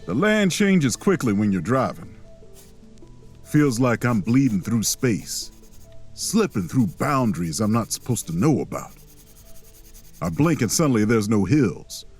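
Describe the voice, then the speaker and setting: An actor playing a watchful, protective road traveler in an audio drama, speaking in a measured, lyrical way. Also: male voice, lowish voice, very animated, darkish tone, slightly rough, soft background music, full character voice. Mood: wary. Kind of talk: audio drama acting with inner narration.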